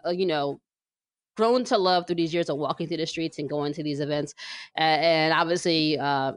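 A woman's voice: fairly low pitch, no room sound. An American woman from New Jersey co-hosting a casual, chatty podcast, speaking with emphatic repetition. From New Jersey, United States. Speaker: podcast co-host.

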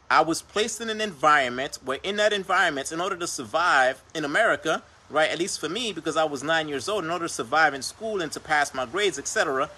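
A man's voice: fairly high pitch, pushed and bright, husky. A Haitian-born man whose first language is Creole, hosting a motivational talk on his livestream show. He speaks earnestly and keeps coming back to phrases like "right?" and "per se.". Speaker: podcast host.

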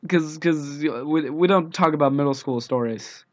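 A man's voice: a little high, normal speed. Young man, scratchy, dull phone line. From United States. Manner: dry, deadpan joking. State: scratchy voice from a cold. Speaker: podcast co-host. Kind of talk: casual chat.

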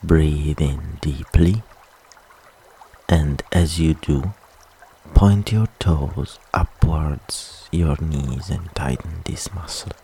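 A man leading a guided relaxation meditation with soft, soothing, unhurried instructions and long pauses. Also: very deep voice, slight background noise.

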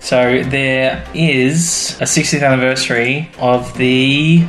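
A man's voice: mid-range voice, steady tone, slow pace, fairly bright tone. Male fan-podcast host, mid-range voice, chatty and eager, informal phrasing, roomy sound. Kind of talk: casual banter about a sci-fi series. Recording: roomy echo, faint music behind.

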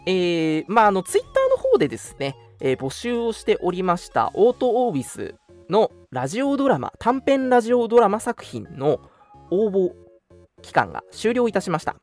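A man's voice: sits high, huge pitch swings, normal speed. Courteous young male radio host over music. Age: young adult. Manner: courteous, earnest, conversational. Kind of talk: polite online radio announcements.

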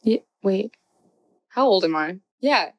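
A woman's voice: mid-range voice, expressive, slow pace, highs cut off. A woman in her twenties co-hosting a podcast, chatting casually with lots of "like" and acted-out quotes. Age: 20s. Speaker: podcast co-host. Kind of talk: casual chat.

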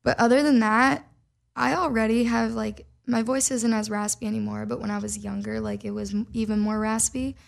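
A woman's voice: fairly high pitch, fairly even intonation, moderate pace, creaky. A young woman from Minnesota, a singer and podcast guest, telling her story in a chatty way full of "like" fillers. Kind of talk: casual storytelling chat.

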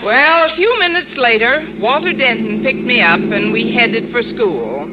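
A woman acting a wry, sardonic schoolteacher in old-time radio comedy, with dry, deadpan wisecracks. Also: on the high side, measured pace, over a noisy crowd. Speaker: lead actress and narrator. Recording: muffled, noisy old radio broadcast recording.

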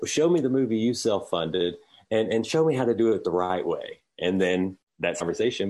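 A man in his forties with a very deep voice answers interview questions as a filmmaker. He talks candidly and loosely, circling back and restarting his sentences as he goes. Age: 40s. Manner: candid, rambling with many restarts. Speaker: filmmaker guest.

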